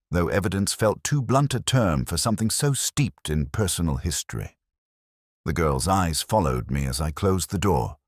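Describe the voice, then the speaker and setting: A man playing an ageing, melancholy police inspector who narrates a detective story in a measured, literary, reflective manner. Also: very deep voice, no echo, studio quality, exaggerated voice. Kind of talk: scripted first-person detective story narration.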